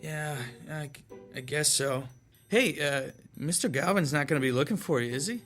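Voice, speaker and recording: An actor playing a shy, awkward young male student in a naturalistic radio drama, hesitant and halting. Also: mid-range voice, fairly bright tone, faint music behind, lightly acted.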